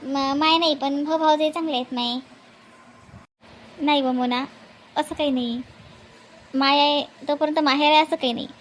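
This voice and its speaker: A woman's voice: high voice, fairly even intonation, normal speed, long pauses, a little hiss or hum, natural voice, poor audio. A woman voicing chatty, homely Marathi village women in a family comedy cartoon. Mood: gossipy. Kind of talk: animated family comedy dialogue.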